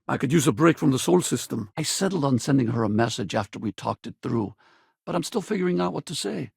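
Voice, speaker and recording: Gravelly, animated space pilot. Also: male voice, mid-range voice, fast, no echo, exaggerated voice. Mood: candid, reflective. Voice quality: gravelly, husky. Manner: casual, colloquial, conversational. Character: easygoing, loyal male space pilot companion, battle-ready and wry. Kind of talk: video game voice acting.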